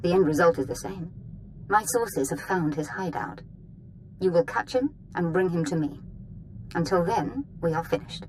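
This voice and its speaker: An actress playing a haughty, threatening young dark lord in a video game, speaking theatrically. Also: female voice, lowish voice, expressive, normal speed, background noise, lightly acted. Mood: commanding. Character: imperious, menacing young female dark lord, daughter of a dead master. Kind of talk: scripted video game acting.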